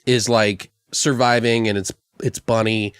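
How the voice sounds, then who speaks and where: A man hosting a podcast, chatting casually and rambling through a story pitch with some swearing. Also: unhurried, smooth, no room sound, clean studio sound. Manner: rambling, profane, animated retelling. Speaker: podcast host.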